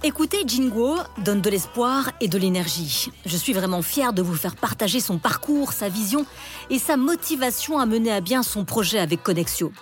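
A woman's voice: mid-range voice, lively intonation, moderate pace, soft background music. A woman hosting a podcast, reading a polished introduction and asking interview questions. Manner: polished, clear presenter delivery. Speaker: podcast host.

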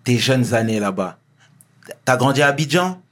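A man's voice: medium pitch, animated, moderate pace, fairly bright tone. Attentive male interview host. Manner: attentive, encouraging, many small acknowledgements. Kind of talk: conversational interview.

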